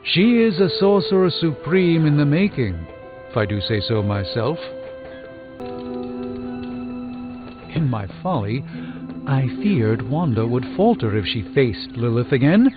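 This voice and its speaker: An actor playing a middle-aged, erudite sorcerer mentor in a video game, speaking with formal, grandiose eloquence and dry wit. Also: male voice, medium pitch, animated, normal speed, phone-quality sound, natural voice. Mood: warm, proud. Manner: theatrical, eloquent, formal, wry. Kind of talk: video game character dialogue. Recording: game dialogue over prominent music.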